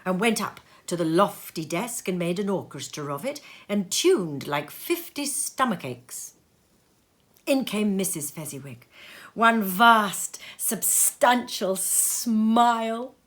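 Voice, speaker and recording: A woman's voice: medium pitch, slow pace. A woman narrating a classic story expressively and dramatically, savouring each phrase. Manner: animated, dramatic, savours lists. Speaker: storybook reader. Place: sitting room.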